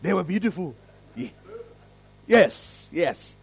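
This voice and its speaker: A man with an African accent leading a church service, praying and then telling a story in an earnest, lively way. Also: high voice, slow, slightly rough, no echo. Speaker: preacher. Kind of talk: sermon with prayer and story.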